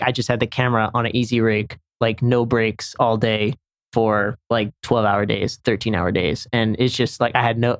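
A man's voice: mid-range voice, no echo. A young man from Senegal, a camera assistant chatting casually on a podcast, rambling with many restarts.

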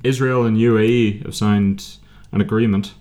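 Male podcast host, lower register, casual chat, wry teasing delivery, quick to interject. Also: fairly even intonation, dark tone.

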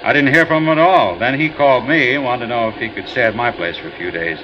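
A man's voice: medium pitch, fast talker, lightly acted. An actor playing a friendly, talkative ship captain in a 1950s radio drama, rambling and hesitant as he speaks. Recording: muffled old radio broadcast with loud hiss.

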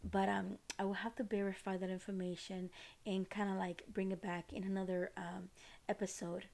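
A woman's voice: medium pitch, level tone, normal speed, gravelly, darkish tone. A woman from Costa Rica with a Costa Rican Spanish accent, hosting a podcast and explaining things in a rambling way with many fillers and restarts. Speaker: podcast host.

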